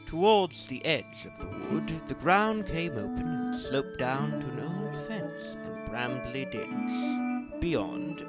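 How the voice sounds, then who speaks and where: Man, storybook narrator, very animated pitch, scripted reading, prominent background music. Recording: music behind the voice.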